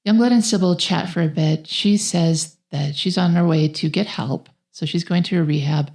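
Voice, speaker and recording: A woman's voice: on the low side, normal speed, creaky, darkish tone. A woman co-hosting a podcast, recapping a film's story in a conversational way. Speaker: podcast co-host. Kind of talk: conversational film plot recap.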